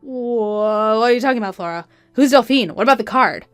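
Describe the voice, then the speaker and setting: A woman's voice: on the high side, a little fast, clean tone, dry recording, faint music behind, natural voice. A woman voicing a flustered, evasive adult husband with a hesitant, pleading manner in a narrated story. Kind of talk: acted dialogue in a narrated story.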